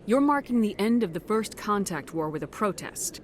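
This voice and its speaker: An actress playing a principled female military commander in a video game, speaking in a firm, measured, direct way. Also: mid-range voice, lively intonation, a little hiss or hum, natural voice. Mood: composed, diplomatic. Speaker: player character. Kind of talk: video game dramatic voice acting.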